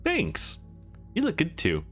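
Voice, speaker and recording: A shy young woman from a visual novel, as a man acts her out. She is sweet and easily flustered, speaking high with a bashful, lilting hesitance. Soft music runs behind. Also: wildly swooping pitch, slow pace, full character voice.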